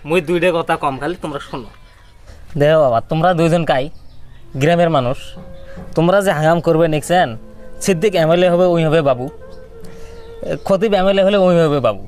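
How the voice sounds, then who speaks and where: A man's voice: on the high side, fairly quick, long pauses, a little mellow, faint music behind, lightly acted. A man playing a reasoning village peacemaker, admonishing and explaining in a northern Bengali dialect. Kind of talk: comedy skit acting in village dialect.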